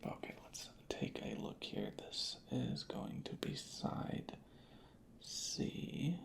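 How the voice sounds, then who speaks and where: A hushed, breathy ASMR voice, soft-spoken and whispering in a deliberate, unhurried roleplay as an inspector. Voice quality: breathy whisper with creaky fry. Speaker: ASMR creator playing a record inspector.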